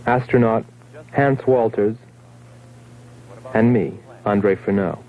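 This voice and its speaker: An actor playing a grave astronaut who narrates a space mission in a scripted 1960s science fiction film. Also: male voice, fairly low pitch, slow pace. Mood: somber, reflective. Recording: muffled, noisy old 1960s film soundtrack.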